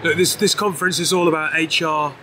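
A male podcast host fires off friendly, loosely phrased questions at a brisk clip. His voice sits a little high for a man. Faint crowd ambience from a convention floor hums behind him. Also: fairly even intonation, pushed and bright, a little hiss or hum. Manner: quick, friendly and loose, with restarts. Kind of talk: conversational interviewing. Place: busy conference floor.

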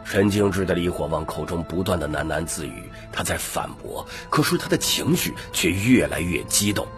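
A man's voice: deep voice, expressive, normal speed. A man narrating an audiobook story in a dramatic, scripted storytelling style. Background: background music.

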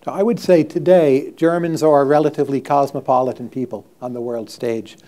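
A man's voice: medium pitch, steady tone, a little fast, dark tone. A middle-aged man hosting a live stage interview, asking direct, probing questions, recorded on a lapel mic. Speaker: host. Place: stage before a live audience.

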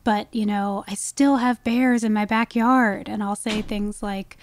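A woman's voice: medium pitch, fairly even intonation, a little slow, a little hiss or hum. A woman speaking as an expert guest in a conversational podcast interview, explaining things with emphasis. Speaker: guest, wildlife ecologist.

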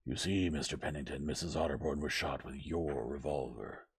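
Guy reading as no-nonsense male investigator, clipped and stern, deep gravelly voice, mystery audiobook. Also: normal speed, exaggerated voice. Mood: accusing. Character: terse, commanding male investigator grilling a suspect.

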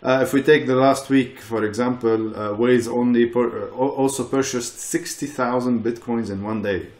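A middle-aged man talking as a panel guest over a video call from his living room, relaxed and peppered with "you know". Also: mid-range voice, moderate pace, echoey room. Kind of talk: casual panel talk.

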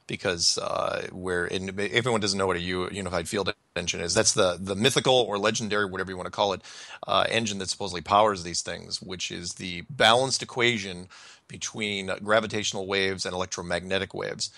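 Male talk host: casual, animated interjector, sharp creaky delivery, dry close sound. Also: sits low, fairly quick, dry recording. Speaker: show host. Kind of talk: casual talk show chat.

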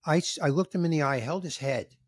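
A man in his sixties hosting a podcast, telling a story in a casual, animated way and acting out the dialogue, with some emotion. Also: on the high side, fairly quick, husky, dry recording, clean studio sound. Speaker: podcast host, comedian. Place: home room with record shelves. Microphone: studio mic on boom, headphones, video call.

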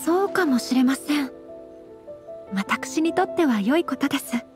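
A high, girlish voice of a well-born young lady in an anime game. She is gentle and principled, with very formal, composed phrasing. Music runs behind her. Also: lively intonation, measured pace. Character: refined young noblewoman, gentle, modest and principled.

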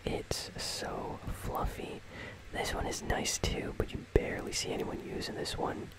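A gaming channel host speaking entirely in a soft, breathy, unvoiced whisper, giving casual, rambling ASMR commentary. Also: unhurried, rough recording. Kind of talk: ASMR whispered commentary on a game item shop.

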